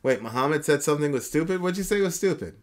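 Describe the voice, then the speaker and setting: A man from Jamaica, co-hosting a casual live chat, animated and laughing often as he reads comments. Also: fairly high pitch, quick pace. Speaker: co-host.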